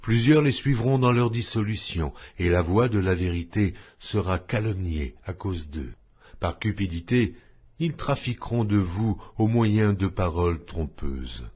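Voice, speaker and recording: A man giving a measured, solemn scripture reading, his phrases falling at their ends. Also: deep voice, expressive, slightly rough, highs cut off. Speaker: Bible reader.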